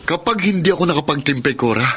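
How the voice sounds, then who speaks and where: A man's voice: on the high side, expressive, quick pace, fairly bright tone, slightly rough. A Filipino man playing a hot-tempered, defensive cheating husband in a radio drama. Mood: angry, defensive. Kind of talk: Tagalog radio drama acting.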